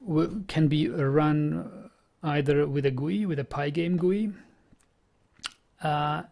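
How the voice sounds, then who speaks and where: Halting Czech-accented man with gravelly voice. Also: a little high, steady tone, a little mellow. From Czech Republic. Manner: halting, repeats words while thinking aloud. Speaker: programming streamer. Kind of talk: casual live-stream chat.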